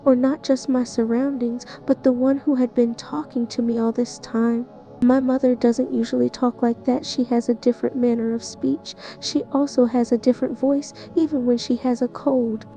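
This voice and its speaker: A woman narrating a first-person horror story in a tense, even manner. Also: on the high side, normal speed, soft background music. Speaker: narrator playing the listener's character.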